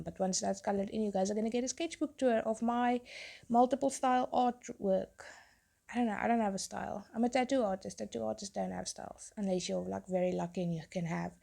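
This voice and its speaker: A young woman, a tattoo artist, chatting in a rambling way while she draws, her voice hoarse from being sick. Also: mid-range voice, mellow. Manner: rambling, chatty, self-interrupting. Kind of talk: casual chat while drawing.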